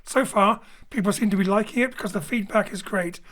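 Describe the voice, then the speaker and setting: A man's voice: sits high, brisk, a bit bright. A man from Wales, director and co-writer, introducing the company in a friendly, jokey way and mixing in Welsh phrases, from a home studio. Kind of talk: scripted introduction to a show.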